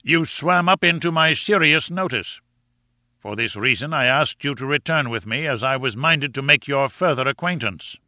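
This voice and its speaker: A man voicing an arrogant, domineering professor in an audiobook, booming and lecturing with insolent condescension. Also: animated, moderate pace, bright, forceful tone, dry recording, clean studio sound. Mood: lofty, condescending. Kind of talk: audiobook character dialogue.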